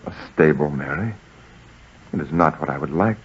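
Deferential biblical carpenter: very low, measured and earnest, muffled 1940s radio sound. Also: male voice, heavily acted. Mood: subdued. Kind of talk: 1940s radio drama acting. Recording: dull, husky-sounding 1940s radio recording.

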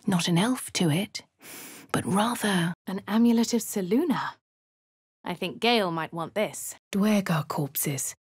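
An actress with a posh English accent voicing a composed young female adventurer in a game, crisp and clipped. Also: medium pitch, dry recording, studio quality. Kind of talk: game voice lines and narration.

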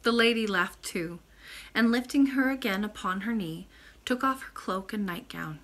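Bright-voiced woman reading bedtime stories. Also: medium pitch, normal speed. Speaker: storytime reader. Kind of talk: expressive bedtime story reading with informal asides. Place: small room with bookshelves, indoors.

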